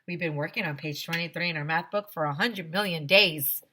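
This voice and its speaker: An American woman, a teacher, reading a children's story aloud in an expressive way. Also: lowish voice, fairly quick. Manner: expressive, acting out dialogue.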